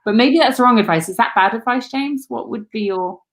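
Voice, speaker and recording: A woman's voice: a little high, a little fast. A British woman working as a casting director, talking candidly in a casual Q&A with many "like"s and restarts. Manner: candid, rambling, lots of "like". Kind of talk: casual Q&A chat.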